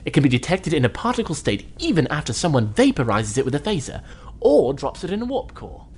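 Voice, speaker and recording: A man's voice: fairly high pitch, huge pitch swings, brisk, a little mellow, slightly rough, full character voice. An actor playing a helpful male starship engineering officer who gives precise technical explanations.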